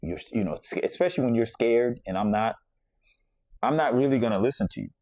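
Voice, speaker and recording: A man's voice: medium pitch, animated, brisk, darkish tone, no echo, clean studio sound. A man from the Bahamas hosting a podcast, telling a personal story in a reflective, conversational way and circling back to repeat his points. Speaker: podcast host.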